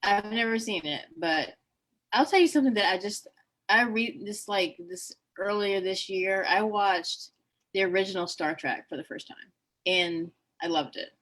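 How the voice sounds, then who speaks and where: A woman's voice: mid-range voice, normal speed, fairly bright tone, low-quality recording. Woman host, friendly, attentive, casual online chat.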